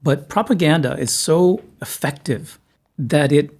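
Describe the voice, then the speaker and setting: A man's voice: fairly high pitch, animated, unhurried, a little mellow. A man hosting a podcast interview, talking in a chatty, self-deprecating way with frequent restarts. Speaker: podcast host. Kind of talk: casual interview chat. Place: studio room in Moscow.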